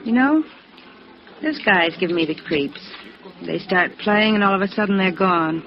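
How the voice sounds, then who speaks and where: A woman's voice: mid-range voice, expressive, moderate pace, muffled recording, slight background noise, close to an everyday voice. A woman playing a wistful, forward young woman at a bar in a radio drama, with a musing, intimate, world-weary manner. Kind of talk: 1950s radio drama acting. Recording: band-limited vintage radio recording. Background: music.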